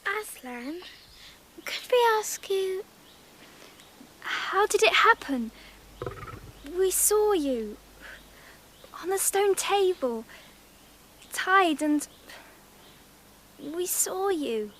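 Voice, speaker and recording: A woman playing a gentle, careful schoolgirl, earnest and a little hesitant, in a radio drama. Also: very high voice, expressive, drawn-out words, long pauses, slight background noise, exaggerated voice. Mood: awed, hushed.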